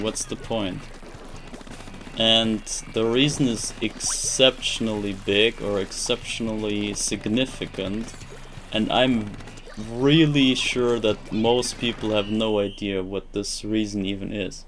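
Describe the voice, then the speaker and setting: A young man who grew up speaking German rambles through a casual live-stream chat in English. His voice sits low and he talks slowly, often restarting as he hunts for the right words, while quiet game music plays behind him.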